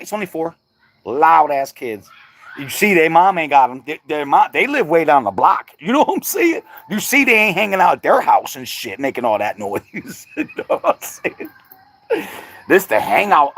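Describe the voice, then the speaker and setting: A man's voice: a little high, very animated, normal speed, a bit bright, no echo. A middle-aged man chatting casually on a livestream, laid-back and slangy, laughing often. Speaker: livestream host.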